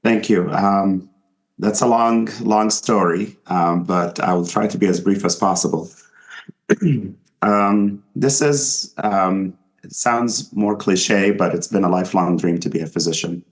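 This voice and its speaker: Deep voiced doctor guy from egypt, hesitant, lots of ums. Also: a little slow, low-quality recording. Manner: thoughtful, hesitant, many fillers. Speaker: physician guest. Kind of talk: podcast interview.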